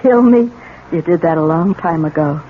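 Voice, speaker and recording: A woman's voice: lowish voice, moderate pace, phone-quality sound, lightly acted. An actress playing a bitter, wounded, defiant wife and mother in a melodramatic 1970s radio play, emotional and reproachful. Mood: bitter defiance. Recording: noisy, band-limited old radio recording.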